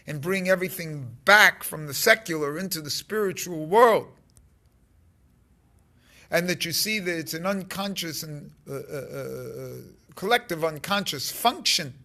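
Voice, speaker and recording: Slow, gravelly man in his fifties. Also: on the high side, sharp tone. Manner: halting, rambling, emphatic stresses. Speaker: spiritual teacher. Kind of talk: informal vlog talk on spirituality. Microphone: phone held close at arm's length.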